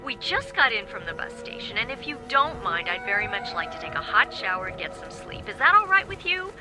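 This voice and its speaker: An annoyed young woman, played by an actress in a radio drama. Her delivery is clipped and sarcastic, swinging widely in pitch, with clear music behind. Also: big pitch swings, a little fast, lightly acted, poor audio. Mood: icily irritated. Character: irritated, icily dismissive young woman answering late-night call.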